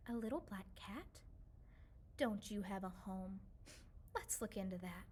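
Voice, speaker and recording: A woman voicing a kindly, lonely old woman in a children's storybook, gentle and soothing as she reminisces. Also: medium pitch, expressive, unhurried, a little hiss or hum, voice pushed down, lightly acted. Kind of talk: children's storybook read-aloud.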